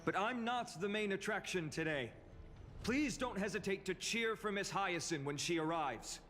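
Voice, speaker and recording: An actor playing a gallant, earnest young fantasy hero in a video game, speaking in a measured, courteous way. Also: male voice, high voice, expressive, a bit bright. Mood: formal, grateful. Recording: long reverb, game music behind.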